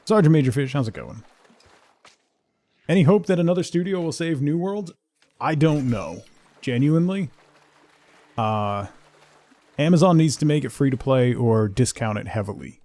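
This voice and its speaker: Gravelly-voiced man chatting on a game stream. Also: mid-range voice, animated, dark tone, no echo. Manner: rambling, halting, thinking aloud. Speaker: game livestream host. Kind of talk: casual chat while playing, answering viewers.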